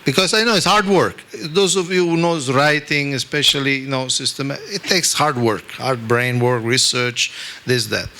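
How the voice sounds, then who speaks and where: A man from Italy with an Italian accent, giving a scripture class in an animated, self-deprecating way, often checking in with "okay?". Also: medium pitch, moderate pace, slight background noise. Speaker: spiritual teacher.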